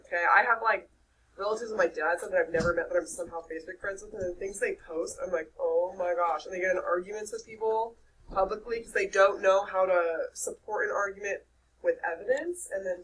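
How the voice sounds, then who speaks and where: A young woman with a nasal voice teaching a high school English class, lively and checking in with her students. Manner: lively, checks in with "okay?" often. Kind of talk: classroom lecture. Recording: distant mic with faint room noise.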